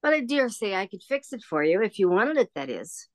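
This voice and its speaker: A woman's voice: medium pitch, very animated, fairly quick, dry recording, clean studio sound, natural voice. A woman playing a kindly, rambling old shopkeeper in a radio play, hesitant and musing. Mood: wistful, chatty.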